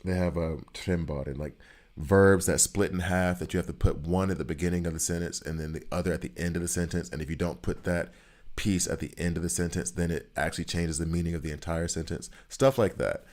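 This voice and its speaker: A man with a deep, low American voice talks loosely through a gaming session. He rambles and cracks jokes as he picks up questions from his chat, in an easy, unhurried way of a streamer in his thirties. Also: fairly even intonation, a little mellow. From United States. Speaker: streamer chatting with viewers. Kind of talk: casual gameplay chatter.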